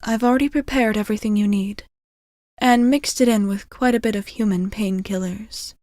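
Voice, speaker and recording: A woman playing a gentle, caring vampire bartender in an intimate ASMR roleplay, soft-spoken and reassuring, often slipping into whispers. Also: medium pitch, fairly even intonation, moderate pace, no room sound, clean studio sound, close to an everyday voice. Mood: calm, explaining. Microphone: close ASMR microphone.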